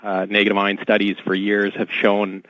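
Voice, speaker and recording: A man's voice: on the low side, completely flat delivery, normal speed, dry recording. A man speaking as an insistent expert guest in a technical radio discussion, over a dull, narrow connection.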